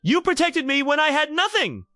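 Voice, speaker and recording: A man's voice: very high voice, sing-song, normal speed, sharp tone, dry recording, studio quality, soft background music, voice pushed up, full character voice. A man narrating a romantic drama voices a passionate, devoted young businesswoman in love, pleading and emotional. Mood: tender, grateful. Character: passionate, devoted female Seoul CEO in love.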